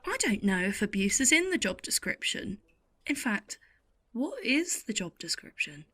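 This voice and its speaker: A woman playing a middle-aged, world-weary waitress in an audio play, telling her story in an intimate, sardonic, deliberate way. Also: medium pitch, sing-song, fairly bright tone. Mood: wry, deadpan. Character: world-weary, wry older waitress in a late-night cafe. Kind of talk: audio play monologue with acted dialogue.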